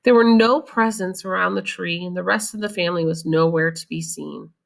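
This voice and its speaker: A woman's voice: on the low side, fairly quick, creaky. A woman storyteller reading a children's picture book expressively and explaining it to young listeners. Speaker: read-aloud storyteller.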